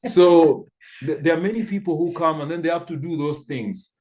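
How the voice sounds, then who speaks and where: A man's voice: fairly high pitch, normal speed, a little mellow. A man in his fifties from Kenya, telling stories thoughtfully with frequent restarts on a church panel. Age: 50s.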